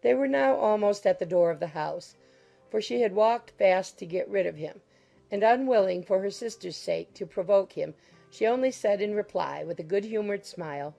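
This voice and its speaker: A woman's voice: medium pitch, moderate pace, creaky, dark tone, faint music behind. A woman reading scripted literary narration as an audiobook narrator.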